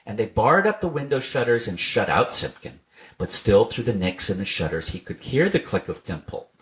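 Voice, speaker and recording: A man narrating a children's storybook in an expressive, scripted reading style. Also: lowish voice, moderate pace. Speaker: storybook reader.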